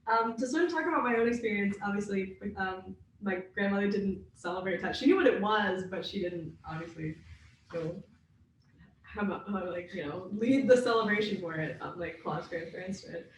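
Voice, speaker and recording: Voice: medium pitch, moderate pace, a little hiss or hum. A young adult who grew up in the United States, co-hosting a podcast in thoughtful, hedging, self-deprecating chat. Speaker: podcast co-host. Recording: very echoey, distant from mic.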